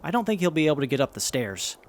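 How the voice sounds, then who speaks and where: Man playing an eager, high-pitched tinkerer. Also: sing-song, fast talker. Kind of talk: post-apocalyptic audio drama acting.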